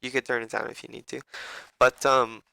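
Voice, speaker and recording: Young man, raspy and bright-toned, casual profane game coach, dry close mic. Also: mid-range voice, little pitch movement, fairly quick, no room sound, rough recording. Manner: loose, profane, rambling.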